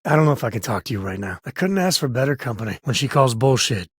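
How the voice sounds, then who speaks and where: A husky, dry-recorded voice for an easygoing space cowboy lawman in a video game. He talks in a folksy, teasing way with dry wit. Underneath it he comes across as protective and loyal. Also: medium pitch, big pitch swings, fast talker, no echo. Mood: conversational, dry. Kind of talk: video game character voice lines.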